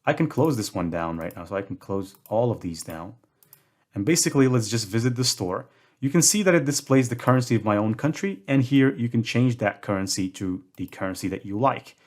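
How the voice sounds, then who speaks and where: A man's voice: medium pitch, animated, brisk. A young man from Morocco hosting a screen-recorded tutorial, talking in a casual way with self-deprecating jokes. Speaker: tutorial host.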